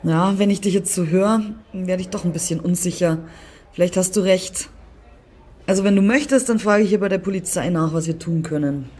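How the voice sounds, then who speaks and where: A woman's voice: lowish voice, normal speed, a little mellow, close to an everyday voice. A young woman playing a matter-of-fact businesswoman daughter in an audio drama, composed and reassuring. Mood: concerned.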